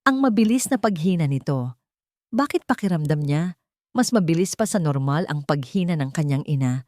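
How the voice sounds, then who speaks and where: Woman storyteller, kinda low voice, very animated pitch, dry close sound, dramatic scripted read. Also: normal speed, no room sound. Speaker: audio story narrator. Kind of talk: scripted dramatic narration of a Tagalog fiction story.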